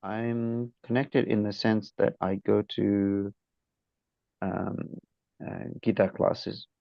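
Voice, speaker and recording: A man from Nepal chatting with his teacher on a call, hesitant, with many fillers and soft laughs. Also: fairly low pitch, little pitch movement, slow pace, creaky, no echo, highs cut off. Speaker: student. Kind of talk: casual chat with teacher.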